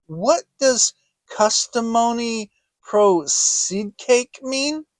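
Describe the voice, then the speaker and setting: A man voicing a gentle, humble, slow-witted toy bear in a storybook, plaintive and hesitant. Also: extremely high pitch, animated, no echo, studio quality, voice pushed up, full character voice. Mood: humble, sad.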